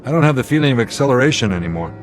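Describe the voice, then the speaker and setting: An actor playing a steady airline captain in a radio drama, speaking with measured authority and a wry edge. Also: male voice, mid-range voice, slight background noise, lightly acted. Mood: grave, decisive. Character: steady, commanding male airline captain under pressure.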